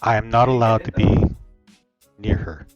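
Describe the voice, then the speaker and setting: A man playing a troubled, dutiful male dragon and father in an online roleplay, speaking hesitantly in a subdued, halting way. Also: on the low side, fairly even intonation, muffled recording, faint music behind. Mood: defensive, troubled.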